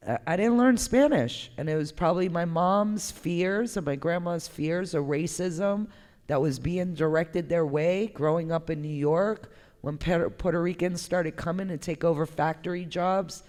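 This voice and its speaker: A woman artist giving a candid, conversational talk, speaking in an informal, emphatic and expressive way. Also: fairly low pitch. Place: indoor gallery talk, reverberant room. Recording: echoey hall with long reverb.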